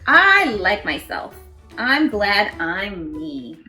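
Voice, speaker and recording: A woman hosting storytime, reading picture books aloud to children in an expressive, encouraging way. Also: medium pitch, extremely slow, echoey room, recorded from a distance, faint music behind. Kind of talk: animated read-aloud to children.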